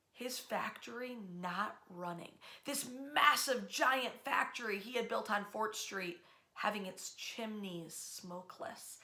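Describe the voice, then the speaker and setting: Bright-voiced American woman telling history, echoey room. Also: mid-range voice. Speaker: history storyteller, host. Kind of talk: informal narrated history storytelling.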